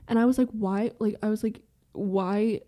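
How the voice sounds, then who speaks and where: A young woman talks in a loose, rambling stream, leaning on "like" and dropping swear words. Her voice is mellow and warm rather than bright, with pitch sitting in a middle range for a woman. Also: fairly even intonation. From American with Iranian family. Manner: fast rambling talk, heavy use of "like" and swearing. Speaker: podcast guest. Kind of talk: candid casual conversation.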